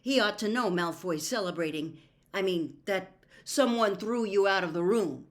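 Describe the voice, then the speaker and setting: A woman's voice: mid-range voice, moderate pace, pitched above the performer's own voice, close to an everyday voice. A woman voicing an earnest teenage schoolboy in a storybook, asking blunt, insistent questions. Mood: advising.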